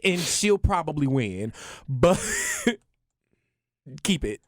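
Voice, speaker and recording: A man co-hosting a podcast in casual chat, animated and passionate, often laughing. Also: a little high, slow pace, dry recording, studio quality. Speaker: podcast co-host.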